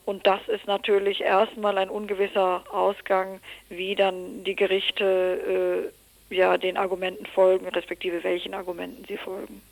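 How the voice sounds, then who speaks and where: A woman's voice: mid-range voice, monotone, normal speed, vocal fry, dry recording, poor audio. A woman answering as an expert guest in an interview, in long qualified sentences with searching, hesitant phrasing.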